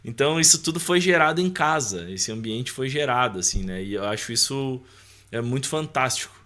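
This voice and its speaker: A man's voice: mid-range voice, lively intonation, fairly quick, sharp tone. A man with a Brazilian accent speaking as a podcast guest, sharing personal faith and life in an informal, conversational way, often checking for agreement.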